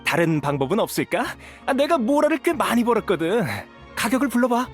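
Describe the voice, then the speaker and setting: Sly, swooping smuggler official. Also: male voice, extremely high pitch, very animated, a little fast, faint music behind. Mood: wheedling, nervous. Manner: wheedling, evasive. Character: sly, cornered smuggler official, wheedling and venal. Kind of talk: dubbed video game acting.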